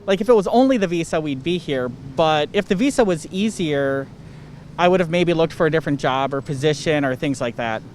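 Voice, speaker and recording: A man answering street interview questions in a measured, thoughtful way. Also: fairly high pitch, expressive, a little hiss or hum. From United States. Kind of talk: casual answers. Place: city street outdoors.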